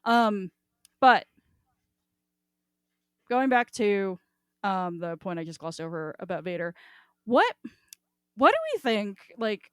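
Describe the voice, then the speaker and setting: A woman hosting a podcast, chatting casually about fiction in an animated, halting way with frequent rephrasing. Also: medium pitch, no echo, studio quality. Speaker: podcast host.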